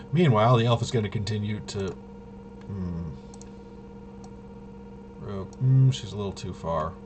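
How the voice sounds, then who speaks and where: A man thinking aloud in casual tabletop gameplay commentary, halting and muttering to himself. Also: lowish voice, noisy background. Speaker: tabletop RPG player and presenter.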